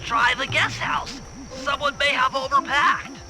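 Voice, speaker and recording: A man's voice: very high voice, flat delivery, measured pace, over music. A man voicing a nerdy, cautious turtle mastermind in a cartoon game, with a nasal voice and precise, wordy, earnest briefings. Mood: apologetic, earnest. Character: nerdy, cautious male turtle mastermind, planning heists over radio.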